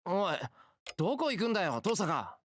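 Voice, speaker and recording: Earnest, very quick-talking teenage boy. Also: sits high, very animated, no echo. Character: earnest, bewildered teenage schoolboy hero. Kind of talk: Japanese visual novel voice acting. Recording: background music under game dialogue.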